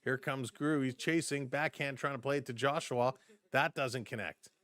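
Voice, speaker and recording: A man doing live play-by-play for a fan stream, switching between brisk calls of the action and chatty replies to his viewers, his voice starting to go hoarse. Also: mid-range voice, fairly bright tone, no echo. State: voice getting hoarse. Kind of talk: live play-by-play and chat reactions.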